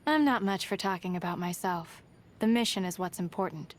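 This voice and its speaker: An actress playing a guarded, resolute young huntress in a video game, plain-spoken and understated. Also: female voice, lowish voice, animated, brisk, close to an everyday voice. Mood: reflective, guarded. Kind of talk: video game character voice lines.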